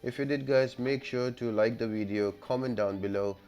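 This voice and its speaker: A man's voice: mid-range voice, normal speed, a little mellow. A man giving an instructional, step-by-step voice-over for a gameplay tutorial. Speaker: game guide video creator. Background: background music.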